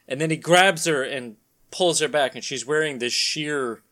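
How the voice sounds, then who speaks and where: A man's voice: on the high side, normal speed, bright, forceful tone. A man hosting a comedic dramatic reading of a comic, switching between mock-dramatic narration and casual, jokey asides. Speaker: podcast host and narrator.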